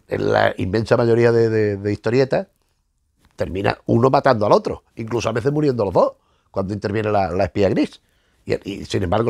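Gravelly middle-aged man from Spain, low voice. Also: a little mellow, no echo, studio quality. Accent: Spanish, from Spain. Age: 50s. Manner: halting, repeats words as he searches for phrasing. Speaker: host of a retro comics review show. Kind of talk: casual, rambling monologue to camera. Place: small book-lined room at home, indoors.

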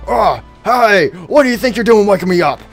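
A performer voicing a grumpy, confrontational male animatronic alligator, gruff and blustering, in a cartoon skit. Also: medium pitch, animated, brisk, faint music behind. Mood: threatening.

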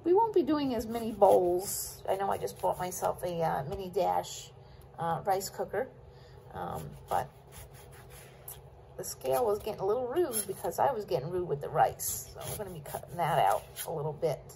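A woman's voice: mid-range voice, sing-song, normal speed, vocal fry, dark tone, a little hiss or hum. A woman chatting casually with her viewers while she chops vegetables at her kitchen counter. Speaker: cooking vlogger. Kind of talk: casual cook-along chat.

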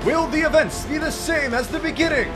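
A performer playing an excitable, gleefully mean arena announcer in a cartoon parody, showy and exclaiming. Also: high-pitched, a little fast, natural voice. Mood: excited. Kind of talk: cartoon parody sports commentary. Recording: loud music behind the voice.

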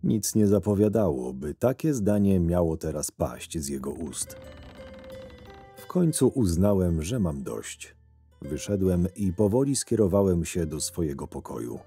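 A man playing a curt, irritable convict guard who narrates his own story in a sardonic, gruff way in an audio drama. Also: low-pitched, sing-song, a little slow, warm tone, faint music behind, heavily acted. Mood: weary, sardonic. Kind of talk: first-person audio drama narration with dialogue.

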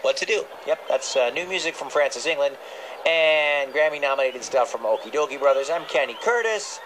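A male radio host chats playfully for a children's show. His pitch moves around in a lively way, and the recording of the broadcast carries a slight layer of noise. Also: brisk. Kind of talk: playful kids' radio hosting. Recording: slightly noisy capture of radio playback.

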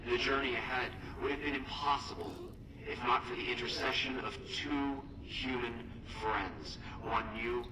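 A man playing a solemn cult prophet, preaching to his followers in a grand, reverent, measured manner in a video game. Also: medium pitch, steady tone, natural voice. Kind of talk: ceremonial sermon-like speech. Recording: echoey, distant, noisy hall.

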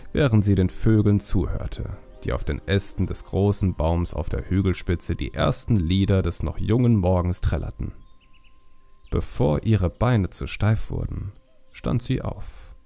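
Low, gravelly male storyteller. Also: warm tone. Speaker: audiobook narrator. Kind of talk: scripted storytelling narration.

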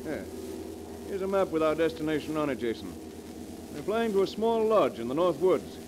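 A man's voice: fairly high pitch, very animated, normal speed. An actor playing an anxious, commanding wealthy businessman, brusque and emphatic in 1940s radio drama style. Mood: relieved. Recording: hissy crackling 1940s radio recording.

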